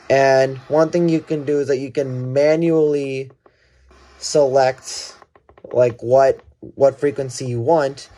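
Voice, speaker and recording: A man's voice: medium pitch, dark tone. A man giving a casual hands-on gadget demonstration, thinking aloud and correcting himself as he goes. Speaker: product reviewer.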